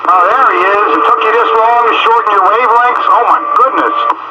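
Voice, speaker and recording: A man's voice: high-pitched, brisk. A man chatting casually on the air as a radio operator, heard over a muffled two-way radio. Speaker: amateur radio operator hosting an on-air group. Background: loud radio static.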